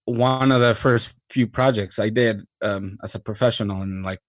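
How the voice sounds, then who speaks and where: A man from Mexico, a cinematographer, talking about his career in a relaxed interview with frequent fillers and restarts. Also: lowish voice, normal speed, no echo, studio quality. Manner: thoughtful, many fillers and restarts, enthusiastic. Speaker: cinematographer guest.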